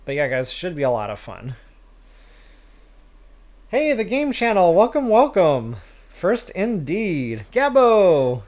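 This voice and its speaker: A man's voice: high voice, wildly swooping pitch, takes it slowly, long pauses, darkish tone. A man hosting a game livestream, chatting casually with viewers in a self-deprecating way. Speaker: live stream host, gamer.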